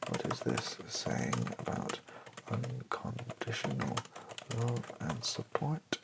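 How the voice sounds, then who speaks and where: A British man improvising an ASMR writing session, thinking aloud in a rambling, halting, self-deprecating way. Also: mid-range voice, huge pitch swings, creaky, very noisy. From Britain. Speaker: YouTuber, ASMR video creator. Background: computer keyboard typing and clicks.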